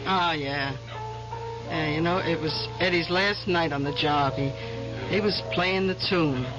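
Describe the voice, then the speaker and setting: A woman's voice: low-pitched, measured pace. An actress playing a chatty waitress in a 1970s radio drama who rambles and chuckles as she gossips. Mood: gossipy. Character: chatty gossiping middle-aged woman waitress. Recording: dull 1970s radio broadcast, music behind.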